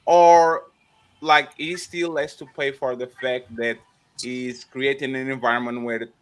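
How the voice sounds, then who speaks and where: A man's voice: medium pitch, steady tone, a little slow, smooth. A man hosting a casual panel discussion, stumbling and repeating words as he presses the others with questions. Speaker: panel host.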